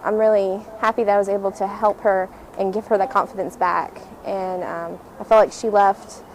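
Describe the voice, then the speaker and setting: Young female tutor. Gravelly fry, medium pitch, halting anecdote full of ums, light room noise. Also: level tone, rough recording. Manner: halting, hesitant delivery with frequent ums. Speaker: writing tutor. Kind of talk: personal anecdote.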